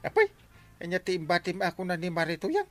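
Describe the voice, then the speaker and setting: An actor with an Ilocano accent playing a boastful, jolly village captain in a radio comedy drama, exclaiming and chuckling. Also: male voice, sits high, wildly swooping pitch, normal speed. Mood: teasing.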